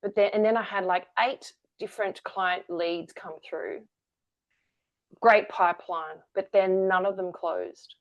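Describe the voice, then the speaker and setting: A woman's voice: mid-range voice, steady tone, unhurried, dull audio. A woman, a guest telling her story candidly in an interview. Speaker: guest, energy-work founder. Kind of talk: conversational interview.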